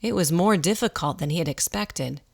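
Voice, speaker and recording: A woman's voice: on the low side, moderate pace, vocal fry, slightly rough. A woman reading scripted story narration for a radio drama. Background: music.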